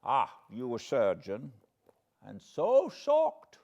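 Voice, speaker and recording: A man voicing an elderly foreign professor from a classic novel, solemn and fatherly, with quaint, deliberate phrasing. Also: mid-range voice, huge pitch swings, darkish tone, dull audio, pitched above the performer's own voice, full character voice. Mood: intense, hushed. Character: elderly foreign male professor, grave, tender, paternal, eccentric.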